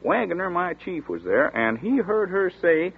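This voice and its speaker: An actor playing a chatty small-town sheriff's deputy who talks in a folksy rural dialect, in 1940s radio acting. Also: male voice, high voice, sing-song, moderate pace, creaky, husky, exaggerated voice. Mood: storytelling. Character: folksy drawling small-town male deputy, middle-aged. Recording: tinny scratchy 1940s radio broadcast.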